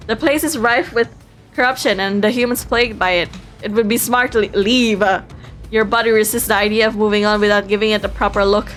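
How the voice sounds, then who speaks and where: A woman's voice: mid-range voice, fairly even intonation, a little fast, fairly bright tone, faint music behind. A woman live-streaming a visual novel, reading it aloud expressively and playfully, breaking off into exclamations.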